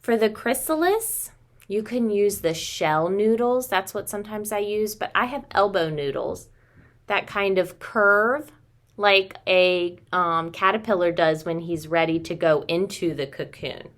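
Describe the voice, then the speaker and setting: Woman preschool teacher in her 30s, takes it slow, simple words for little kids, doing a craft demo. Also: mid-range voice, animated, a bit bright. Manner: simple, child-directed talk, addressing listeners as friends. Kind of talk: craft demonstration for young children. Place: small room at home.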